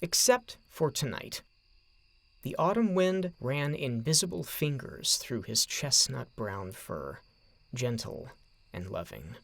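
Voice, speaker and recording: A man narrating a scripted story in a measured, dramatic way, with deliberate phrasing. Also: mid-range voice, creaky, a bit bright.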